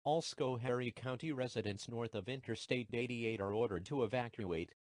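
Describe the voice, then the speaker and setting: A man voicing an official emergency alert announcer, formal and measured, reading a scripted broadcast message. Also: mid-range voice, a little mellow, no room sound, natural voice. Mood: formal, impersonal.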